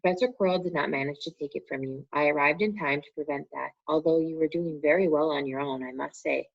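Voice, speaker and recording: A gentle, kindly old wizard headmaster , as a woman narrator plays him, speaking softly and calmly at a lowish pitch. Her voice is husky with a gravelly edge. The sound is muffled and lo-fi. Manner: soft, calm, unhurried. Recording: muffled lo-fi recording.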